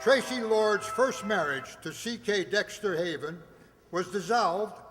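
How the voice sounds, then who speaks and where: A man acting as a 1940s radio announcer, pitched high for a male voice. He is formal, polished and grandly promotional. The sound rings through a hall with long reverb. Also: unhurried, over music.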